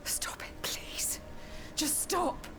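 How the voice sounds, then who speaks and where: A woman's voice: extremely slow, in a whisper. An actress playing a grieving, vengeful queen in a video game cutscene, theatrical and imperious. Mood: distressed. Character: grieving, vengeful queen mourning her young son. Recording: dramatic music behind the voice. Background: music.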